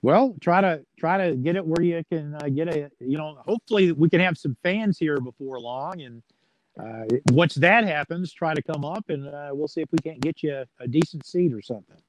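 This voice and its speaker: A man's voice: a little high, moderate pace, slight background noise, rough recording. A man talking casually as an interview guest, folksy and plain-spoken, with a joking streak. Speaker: sports play-by-play announcer as interview guest.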